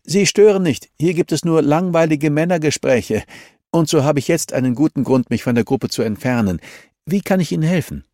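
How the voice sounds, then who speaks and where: A man's voice: fairly high pitch, expressive, brisk, warm tone, dry recording, studio quality, voice pushed up, natural voice. A man voicing a sociable, helpful society wife in an audiobook, gracious and breezy in manner. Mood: gracious, amused. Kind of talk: audiobook character dialogue.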